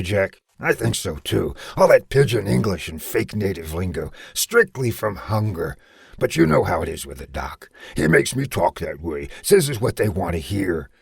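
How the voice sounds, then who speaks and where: Guy putting on a raspy talking gorilla, wisecracking and slangy, voice jumps all over. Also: fairly low pitch, no room sound, studio quality, full character voice. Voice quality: gravelly growl. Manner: slangy, streetwise, mock pidgin then casual. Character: wisecracking educated male gorilla, gruff and sly.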